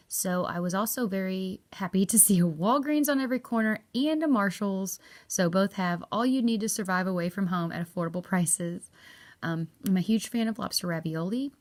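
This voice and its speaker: A woman with a Southern US accent, narrating a chatty, upbeat travel review in a folksy way. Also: medium pitch. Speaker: travel vlogger.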